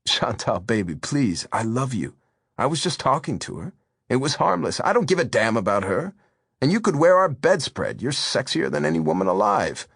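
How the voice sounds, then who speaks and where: A man's voice: mid-range voice, very animated, normal speed, creaky, fairly bright tone, slightly rough, no echo, studio quality, pitched above the performer's own voice, full character voice. A man voicing a younger, easygoing boyfriend who pleads and soothes in a storybook reading. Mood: placating.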